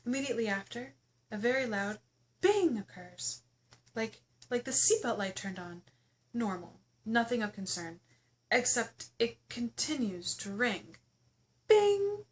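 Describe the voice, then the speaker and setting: A woman reading her own memoir aloud as its author, in expressive first-person narration with a playful, theatrical, exclamatory storytelling manner. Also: a little high.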